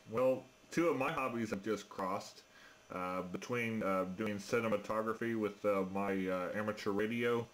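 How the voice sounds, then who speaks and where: A man chatting casually as an amateur radio operator, talking in a hesitant, halting way. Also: on the low side, a bit bright. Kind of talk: casual ham radio chat. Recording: noisy amateur radio transmission.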